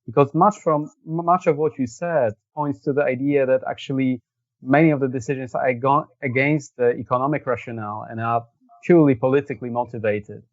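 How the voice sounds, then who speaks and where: A man's voice: medium pitch, steady tone, brisk. A male interviewer asks a long question, stumbling and restarting his words as he goes. The sound is dry with no room echo, and the audio is dull. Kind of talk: conversational questions.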